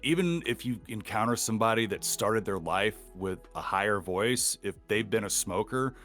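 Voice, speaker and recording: A middle-aged man speaks in a low register with the slight growl of a longtime smoker. He rambles bluntly and casually through a podcast interview as a voice actor, with faint music playing underneath. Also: animated, moderate pace, fairly bright tone.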